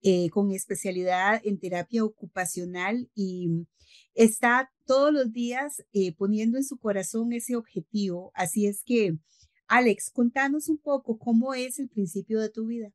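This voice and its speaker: A woman's voice: a little high, moderate pace, dry recording. A middle-aged woman with a Costa Rican accent hosting an interview over a video call, effusive and formal. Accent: Costa Rican Spanish. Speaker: host.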